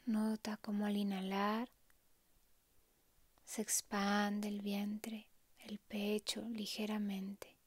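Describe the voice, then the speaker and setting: A woman softly narrating a guided meditation in a hushed, calm, breathy way, leaving long pauses. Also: medium pitch, no room sound.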